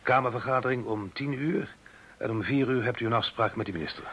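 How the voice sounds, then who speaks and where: Man acting a quick, deferential private secretary. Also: mid-range voice, husky, close to an everyday voice. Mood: dutiful. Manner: polite, formal, dutiful. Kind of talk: radio play acting. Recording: dull, faintly hissy radio recording.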